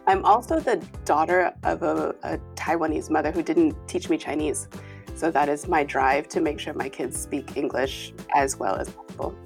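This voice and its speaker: An American woman, a parent and guest panellist, introducing herself in a relaxed, chatty way over an online call. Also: low-pitched, normal speed, creaky, soft background music. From United States. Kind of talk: casual self-introduction.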